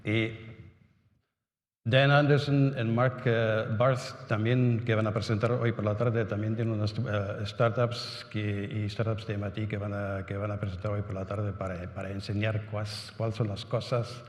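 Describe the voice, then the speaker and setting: A man's voice: lowish voice, little pitch movement. Hesitant man, echoey conference hall. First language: German and Italian. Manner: halting, many restarts and "¿no?" tags. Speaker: conference speaker. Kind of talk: symposium talk. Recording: echoey hall with long reverb.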